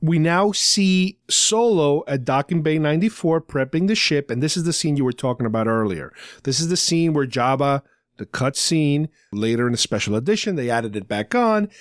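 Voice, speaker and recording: A man with a somewhat high-pitched voice hosts a casual fan podcast. He recaps conversationally, dropping in loose paraphrased dialogue. The sound is very dry, with no room on it. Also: lively intonation, normal speed, no echo. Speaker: podcast host. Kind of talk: casual fan discussion, recapping a radio drama.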